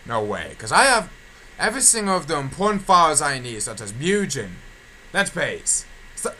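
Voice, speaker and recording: A young adult man records a casual update for his followers. His voice is bright and forward at a middling pitch. He talks haltingly, circling back to repeat his complaints, over clearly audible background noise. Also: rough recording. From England. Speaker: video creator giving channel updates. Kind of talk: casual audio log update.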